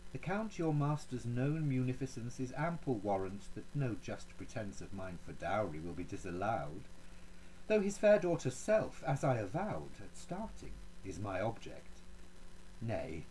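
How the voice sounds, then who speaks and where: A man performs as a proud Renaissance duke in a dramatic poetry recitation. His pitch moves widely through haughty, courtly phrases broken by pointed pauses, with cold menace beneath the politeness. There is faint noise behind the voice. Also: warm tone, poor audio. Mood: courteous, smooth.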